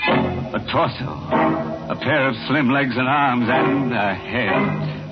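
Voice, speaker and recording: An actor narrating an old-time radio thriller as an ominous, sardonic storyteller of the macabre. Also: male voice, mid-range voice, slow. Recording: muffled vintage radio recording. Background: dramatic music.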